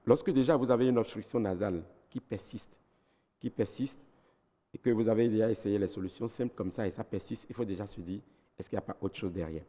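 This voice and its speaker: Beninese male doctor guest, low mellow voice, methodical, reverberant studio. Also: fast talker. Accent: Beninese French. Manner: didactic, lists points methodically. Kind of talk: health advice on a TV talk show.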